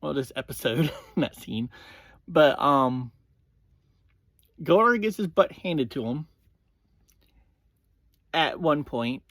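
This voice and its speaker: A man hosting a review series, giving a casual, informal recap in halting phrases that often open with "well". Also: fairly high pitch, lively intonation, a bit bright, no echo.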